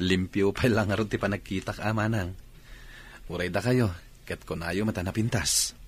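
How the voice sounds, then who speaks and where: An actor playing an inquisitive young son of a wealthy family in an Ilocano Filipino radio drama. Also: male voice, lowish voice, fairly even intonation, moderate pace, slightly rough. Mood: inquisitive, amused. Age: young adult.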